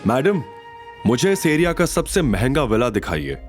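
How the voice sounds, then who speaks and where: A man voicing a calm, determined young hero. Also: medium pitch, normal speed, soft background music, natural voice. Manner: calm, assured.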